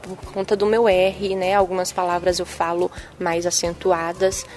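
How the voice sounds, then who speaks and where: A young adult woman journalist with a soft Bahian accent, answering interview questions in a sing-song manner with frequent tag questions. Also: fairly low pitch, little pitch movement, normal speed, soft background music. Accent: Bahian Brazilian Portuguese. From Bahia, Brazil. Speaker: TV journalist and presenter.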